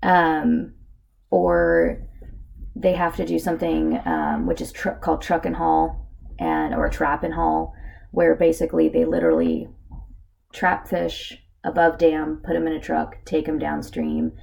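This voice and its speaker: A woman with a hoarse voice from a cold gives a casual narrated slideshow presentation, with lots of filler words. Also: low voice, very animated, measured pace, darkish tone, rough recording. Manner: casual, with many filler ums. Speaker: student presenter.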